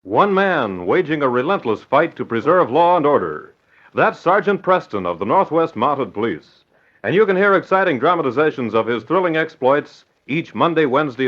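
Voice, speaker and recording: A man's voice: medium pitch, very animated, moderate pace. A man announcing and narrating in a theatrical, emphatic, formal 1940s radio style. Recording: dull old radio broadcast recording.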